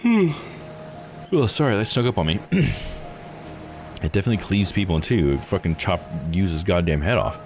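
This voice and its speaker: A man's voice: sits low, animated, moderate pace, pauses often, music in the background. A man giving casual, informal commentary as a live game streamer, with some swearing.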